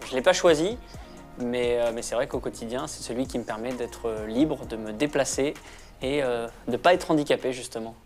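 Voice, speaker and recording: Measured man in his thirties. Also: mid-range voice, faint music behind. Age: 30s. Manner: measured, pauses with fillers. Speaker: interviewee. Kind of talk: calm personal testimony.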